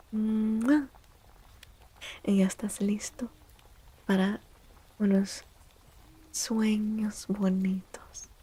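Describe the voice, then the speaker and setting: Slow, husky, soft-spoken ASMR woman. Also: medium pitch. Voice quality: soft, breathy, husky, often near whisper. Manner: gentle, playful, repeats words and phrases softly. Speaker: ASMR streamer, tarot reader. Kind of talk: soft-spoken ASMR livestream, chatting with viewers.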